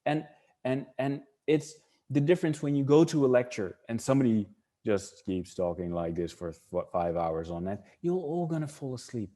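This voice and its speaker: A middle-aged Dutch man with a clear Dutch accent speaks English while leading an online webinar. His voice is warm and mellow, and his delivery wanders as he thinks aloud, doubling back on words and restarting sentences. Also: medium pitch, lively intonation, normal speed. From Netherlands. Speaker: webinar presenter.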